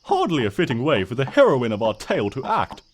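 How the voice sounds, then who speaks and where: A man's voice: medium pitch, huge pitch swings, a little fast, slight background noise. A man voicing a smug, mock-innocent trickster creature who narrates a comic storybook tale in a pompous, wry, theatrical way.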